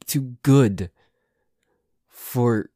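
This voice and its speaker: A man's voice: medium pitch, very slow, mellow, no echo, clean studio sound. A man playing a flustered, grumbling but secretly affectionate boyfriend in an ASMR roleplay, stammering and grudging as he talks. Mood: hesitant, grudging. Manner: stammering, hesitant, grudging, sweary.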